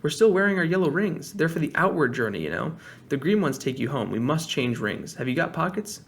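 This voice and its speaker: A man's voice: a little high, very animated, a little fast, vocal fry. A man voicing an eager young boy. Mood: explaining. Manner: raised, boyish and eager.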